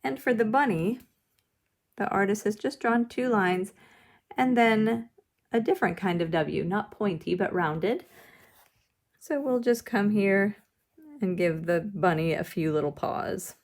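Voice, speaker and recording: Slow-talking woman teaching, creaky voice. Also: medium pitch. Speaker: art teacher leading a drawing lesson. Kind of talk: instructional demonstration, step-by-step.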